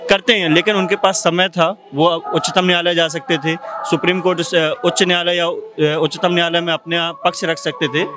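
A young man from Madhya Pradesh giving his opinion in a night-time outdoor street interview, talking formally with many pauses. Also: high voice. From Madhya Pradesh, India. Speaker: interviewee, exam aspirant. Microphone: handheld mic.

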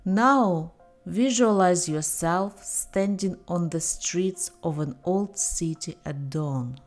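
Slow, low-voiced woman guiding meditation. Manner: calm, gentle, deliberate instructions with pauses. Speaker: guided meditation host. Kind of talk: guided meditation, soothing scripted narration. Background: soft meditation music.

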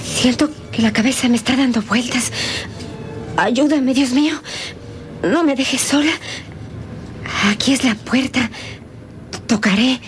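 Slow, faint, wounded young woman. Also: high voice, background noise. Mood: fainting, desperate. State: faint, weak, gasping. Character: wounded, desperate young woman, devoted daughter. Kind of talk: melodramatic radio serial acting. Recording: old radio serial with music behind.

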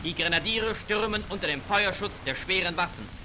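High-pitched 1940s newsreel man. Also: lively intonation, fairly quick, heavy background noise. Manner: formal, declamatory. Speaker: newsreel narrator. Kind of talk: 1940s wartime propaganda newsreel narration. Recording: old 1940s newsreel film soundtrack. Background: loud music, war sound effects.

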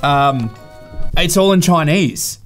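A young man co-hosting with casual, joking banter, excitable and quick to swear. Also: a little high, faint music behind. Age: young adult. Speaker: co-host. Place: small indoor studio, at a table.

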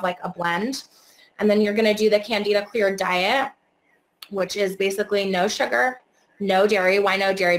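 A woman in her thirties with a slightly hoarse voice that is starting to give out. She talks in a casual, chatty way with plenty of fillers. She is heard through a webcam from an indoor room. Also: mid-range voice, a bit bright, low-quality recording. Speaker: herbalist and esthetician guest. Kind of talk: webinar presentation.

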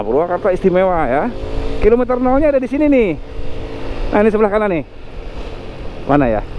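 A man, chatting casually while riding a motorcycle through town, correcting himself and pointing out the route to viewers. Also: sits high. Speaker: motovlogger. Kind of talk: casual ride commentary. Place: riding a motorcycle on city streets outdoors. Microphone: helmet-mounted action camera mic. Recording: slightly dull sound with faint traffic noise.

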